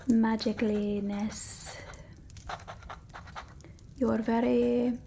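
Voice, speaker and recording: A woman playing a petulant female vampire in a whispered ASMR roleplay, with a theatrical, breathy delivery. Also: mid-range voice, warm tone, a little hiss or hum. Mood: pompous, formal. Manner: theatrical, slow, aggrieved. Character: petulant, melodramatic female vampire from an old village.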